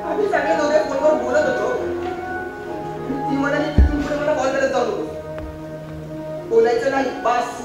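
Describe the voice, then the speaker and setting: High-pitched young man acted on stage, very echoey. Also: fairly even intonation, moderate pace, long pauses, loud music behind the voice. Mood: agitated, upset. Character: commitment-shy young man who falls for every pretty girl. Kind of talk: stage play dialogue. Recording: echoey stage recording from far off.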